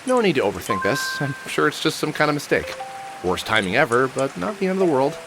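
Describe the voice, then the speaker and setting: A man's voice: mid-range voice, big pitch swings, quick pace, rough recording. An actor playing a wry, world-weary middle-aged man in a video game, muttering sardonically as he thinks aloud. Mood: reasoning, reassuring himself. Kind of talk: scripted game character monologue, thinking aloud. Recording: music under the voice. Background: music.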